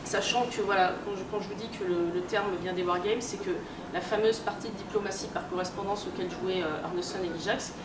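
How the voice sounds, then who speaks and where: A woman's voice: medium pitch, fairly even intonation, brisk, few pauses. A woman gives an informal explanatory lecture at a convention, hesitant and colloquial, often correcting herself. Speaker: conference speaker, researcher and editor. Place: live talk in a room at a convention. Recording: roomy, distant, noisy live conference recording.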